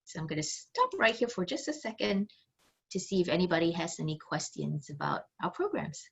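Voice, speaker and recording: Lady running a video call orientation, lowish voice, lots of ums, trails off. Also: big pitch swings, brisk, creaky, slightly rough. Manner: conversational, many ums, trailing off at phrase ends. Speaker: chamber staff presenter. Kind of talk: virtual orientation talk.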